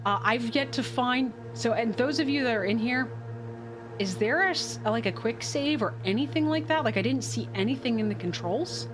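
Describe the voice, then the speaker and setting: A woman's voice: a little high, moderate pace. A woman streaming a game live, thinking aloud as she plays and answering her chat. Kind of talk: casual live gameplay commentary. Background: game music.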